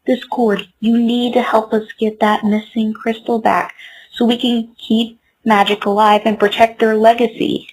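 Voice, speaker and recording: A woman's voice: fairly high pitch, flat delivery, unhurried, darkish tone, husky, low-quality recording. A woman voicing an earnest, hopeful young mare who leads her friends, in a fan comic dub. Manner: earnest, rallying.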